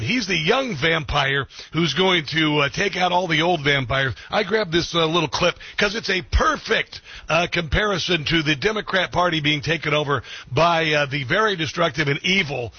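A man's voice: fairly high pitch, moderate pace, sharp tone, slightly rough, dry recording. A man hosting a talk radio show, giving combative, sarcastic commentary. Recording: slightly muffled radio audio.

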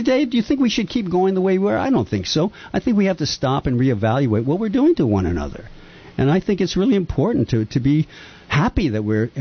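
Husky older man, impassioned and rambling. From Japan. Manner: earnest, rambling, lively pitch swings. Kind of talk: impassioned opinion talk. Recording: dull lo-fi sound.

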